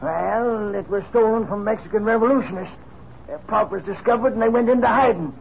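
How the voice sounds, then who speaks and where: An actor playing a folksy, dogged old ex-outlaw in a 1950s radio western, with a creaky old-timer's voice. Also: male voice, sits high, a little fast. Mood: storytelling. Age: older. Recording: dull, noisy 1950s radio recording.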